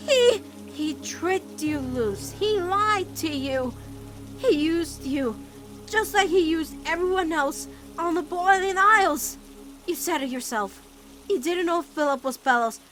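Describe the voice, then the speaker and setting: A woman voicing a caring, steadfast teenage witch girl in an animated-style comic dub, reasoning firmly and tenderly. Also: very high-pitched, slow, soft background music, pitched above the performer's own voice, heavily acted. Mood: firm, consoling.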